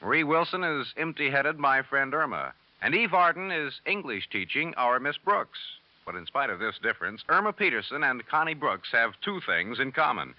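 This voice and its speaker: A man's voice: mid-range voice, big pitch swings, moderate pace, no echo. A man announcing in polished, upbeat mid-century American network radio style, reading credits and promos. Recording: muffled old radio recording.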